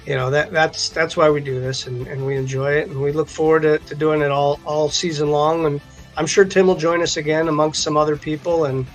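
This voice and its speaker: A man's voice: on the high side, little pitch movement, brisk, soft background music, low-quality recording. A man co-hosting a sports show with casual chat, often repeating words as he goes. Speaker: co-host of a sports card live stream.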